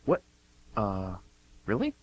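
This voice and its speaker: A man's voice: lowish voice, huge pitch swings, slow, full character voice. A man playing a shy, awkward, stammering young man in an amateur radio comedy. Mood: shy, flustered. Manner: awkward, stammering, eager to please. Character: shy, flustered young man in bird costume selling candy.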